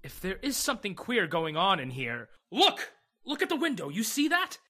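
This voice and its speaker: A man playing a brisk, skeptical lawyer, commanding and dismissive, in old-time radio horror style. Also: high-pitched, wildly swooping pitch, pushed and bright, heavily acted. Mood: alarmed. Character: brisk, skeptical male lawyer searching a haunted house.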